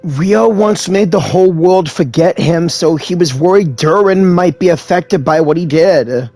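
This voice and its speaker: A man's voice: on the high side, unhurried, warm tone. An actor playing a kind, thoughtful, mild and sincere young man in a video game.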